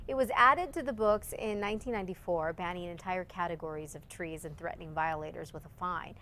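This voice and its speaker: A woman news anchor reading morning headlines briskly, with light friendly banter and a slightly scratchy voice. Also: on the low side, a bit bright. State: scratchy voice from allergies.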